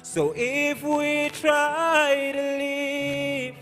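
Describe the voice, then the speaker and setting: A woman's voice: high voice, extremely slow, a bit bright, soft background music. A woman singing a ballad. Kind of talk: slow sung ballad.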